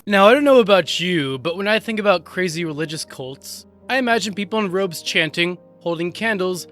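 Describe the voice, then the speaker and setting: A man's voice: high-pitched, animated, fairly quick, fairly bright tone, soft background music. A man narrating a scripted comedic online list video in a jokey, tongue-in-cheek way.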